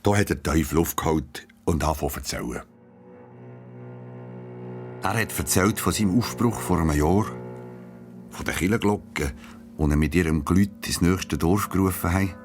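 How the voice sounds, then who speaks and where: Deep-voiced Swiss German storyteller over music. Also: male voice, normal speed, long pauses. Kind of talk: scripted children's story narration.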